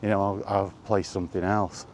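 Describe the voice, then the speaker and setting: A man chatting casually in a rambling solo monologue, halting and musing, often repeating his phrases, recorded outdoors. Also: sits low, flat delivery, gravelly, a little mellow, a little hiss or hum. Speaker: host of a gaming chat series. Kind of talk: casual rambling monologue about video games.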